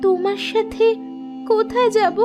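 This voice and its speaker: Brief: a sad cartoon girl, soft and pleading, very high. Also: steady tone, a little slow, music in the background. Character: young girl, gentle stepdaughter, tearful. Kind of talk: cartoon voice acting.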